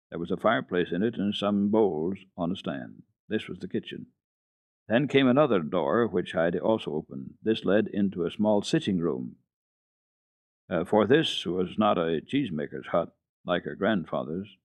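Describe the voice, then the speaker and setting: Low-voiced man reading a children's story. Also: no echo. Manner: animated, expressive narration. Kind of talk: dramatic reading of a children's book. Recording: dull lo-fi old radio recording.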